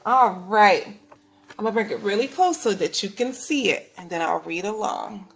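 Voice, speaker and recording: A woman teaching preschoolers, reading a picture book aloud in an instructive way, speaking clearly to children and asking them questions. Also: mid-range voice, lively intonation, normal speed, faint music behind. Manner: instructive, asks the listeners questions, enunciates for children. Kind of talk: read-aloud lesson for young children.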